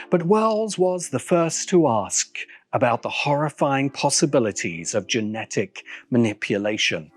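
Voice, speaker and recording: A man delivers a scripted video essay in a measured, formal voice. His pitch sits in the middle but moves with lively variation, and music plays underneath.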